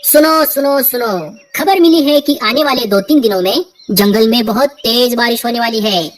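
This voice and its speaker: A woman's voice: fairly high pitch, moderate pace, close to an everyday voice. A woman voicing an urgent, official messenger bird making proclamations in a cartoon story. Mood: urgent announcing. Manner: proclaiming, announcing.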